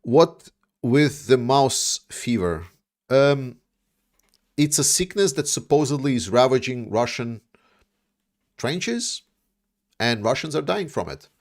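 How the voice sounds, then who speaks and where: A man's voice: mid-range voice, lively intonation, slow pace, long pauses. Casual man on livestream. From Latvia. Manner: rambling, with many fillers and restarts. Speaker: livestream host. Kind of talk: casual livestream chat answering viewer questions.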